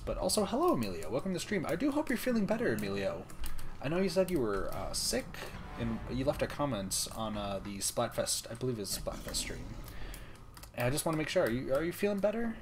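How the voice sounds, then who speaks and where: A young man streaming a game late at night, talking softly and calmly, almost whispering so as not to wake anyone. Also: mid-range voice, a little hiss or hum. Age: young adult. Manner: soft, calm, near-whispering. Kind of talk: casual gaming stream chat. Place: room at home, late at night.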